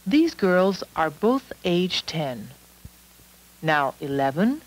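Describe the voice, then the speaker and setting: A woman reading scripted narration for an educational film in a measured, instructional manner. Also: on the low side, sing-song, rough recording.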